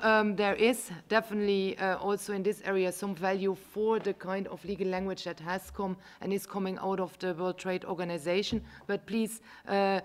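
Measured woman moderating a panel. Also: mid-range voice. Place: large conference room.

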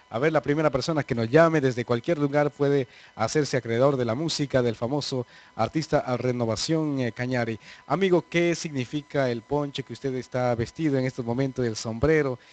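A man's voice: medium pitch, brisk, darkish tone. A man hosts a live TV variety show, speaking into a handheld microphone in a small studio. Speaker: TV host.

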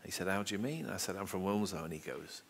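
A man's voice: low voice, expressive, fast, gravelly. A middle-aged Englishman from the Manchester area telling rambling, self-deprecating stories to an audience at a public talk, speaking into a headset mic in a small lecture room. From England, Manchester area. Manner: rambling, self-deprecating anecdotes with many asides; gestures with his hands while talking. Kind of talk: storytelling talk before an audience.

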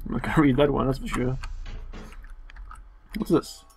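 Man, medium pitch, husky, casual game commentator, sardonic, joking, sweary. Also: moderate pace, darkish tone. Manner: sarcastic, sweary, playful; reads game text aloud between quips. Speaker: let's play commentator.

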